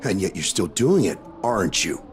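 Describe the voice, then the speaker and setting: A man's voice: deep voice, sing-song, moderate pace, faint music behind. A man playing a bitter, defiant settler in a video game, accusing and disgusted. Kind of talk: video game cutscene acting.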